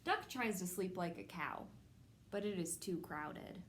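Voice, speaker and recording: A woman hosting a children's storytime, reading a picture book aloud in simple, measured phrases addressed to young children. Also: on the low side, animated, a little hiss or hum. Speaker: children's storytime host at a public library.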